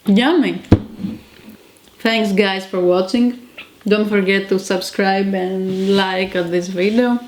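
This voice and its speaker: Slow-talking Greek-accented woman. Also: medium pitch, fairly even intonation, noisy background. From Greece. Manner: chatty, halting, searches for words. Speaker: home cook. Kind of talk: casual cooking video.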